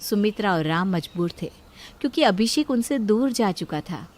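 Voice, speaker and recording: A woman's voice: mid-range voice, expressive, normal speed, darkish tone. A woman reading a scripted family story as a storyteller. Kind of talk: scripted Hindi story narration.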